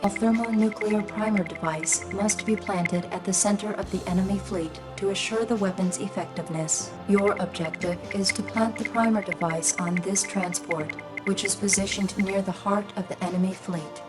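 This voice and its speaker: A woman playing a formal military officer reading a measured, scripted mission briefing in a video game. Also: mid-range voice, fairly even intonation, music in the background, lightly acted. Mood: formal, businesslike.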